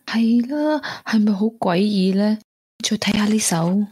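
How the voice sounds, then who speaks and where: An actress playing a gentle, grieving young woman weighed down by guilt, in a Hong Kong Cantonese radio drama. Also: mid-range voice, unhurried, a little hiss or hum, natural voice. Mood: uneasy, confiding.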